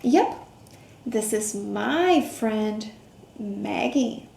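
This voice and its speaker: A woman reading a picture book aloud to children, expressive, with questions, gasps, hums and hushed asides. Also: medium pitch, drawn-out words, vocal fry. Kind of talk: interactive children's read-aloud with questions to young listeners. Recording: roomy echo, faint background noise.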